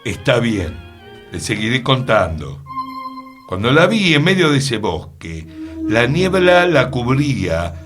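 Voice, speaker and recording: An actor playing an earnest, mysterious storyteller in a radio play, pausing for dramatic effect. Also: male voice, medium pitch, sing-song, over music. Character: earnest, mysterious male storyteller recounting an enchantment. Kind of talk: radio theatre acting.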